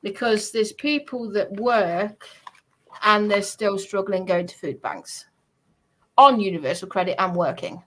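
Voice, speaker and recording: A woman's voice: mid-range voice, unhurried, a bit bright, poor audio. A woman chatting casually on a live stream, talking in a defensive, insistent way.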